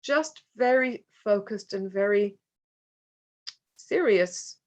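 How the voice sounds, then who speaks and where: A woman's voice: mid-range voice, animated, dull audio. Earnest woman giving a conference talk. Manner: earnest, reflective, with pauses and restarts. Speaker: conference speaker, parent. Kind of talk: presentation talk with slides. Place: conference hall.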